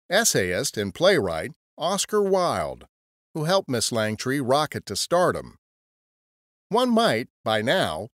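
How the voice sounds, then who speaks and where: Man narrating history with lively, swooping delivery. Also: medium pitch, dry recording, clean studio sound. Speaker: podcast host and narrator.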